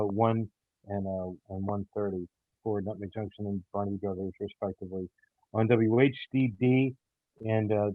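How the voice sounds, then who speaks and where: A man speaking casually as an interview guest, in a hesitant, halting and earnest way. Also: fairly low pitch, no room sound, phone-quality sound. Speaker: audio theater show creator, interview guest.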